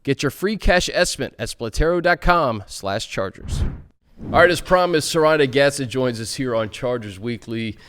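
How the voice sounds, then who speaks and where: Sports show host, male, mid-pitched, conversational presenter delivery. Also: expressive, normal speed, fairly bright tone, slight background noise. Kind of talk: conversational sports talk with sponsor read.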